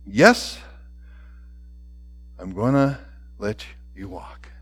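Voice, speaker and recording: A man voicing a gentle, solemn older principal in a story, speaking quietly and deliberately. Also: a little high, lively intonation. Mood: firm, gentle. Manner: quiet, slow, deliberate, near whisper at times.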